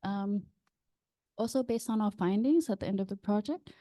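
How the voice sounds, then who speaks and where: A woman's voice: mid-range voice, normal speed, mellow, no echo, studio quality. A woman from Samoa giving a formal workshop presentation steadily, with some fillers.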